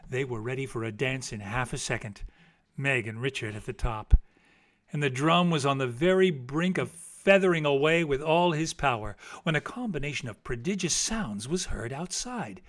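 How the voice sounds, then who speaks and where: A man reading a classic story aloud in a theatrical, expressive and deliberate literary style. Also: on the high side. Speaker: storybook reader.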